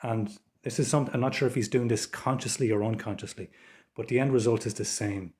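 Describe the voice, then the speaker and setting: An Irish man with an Irish accent giving informal political commentary as a host, in a measured, explanatory way. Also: medium pitch, fairly even intonation, darkish tone. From Ireland.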